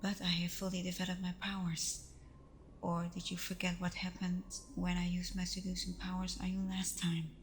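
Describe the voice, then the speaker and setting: A woman playing a tender, playful vampire girlfriend in an intimate ASMR roleplay, speaking softly and often slipping into whispers with hesitant pauses. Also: lowish voice, monotone, a little hiss or hum, natural voice. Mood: teasing, reassuring. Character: tender female vampire girlfriend, reassuring, playful, a little vulnerable.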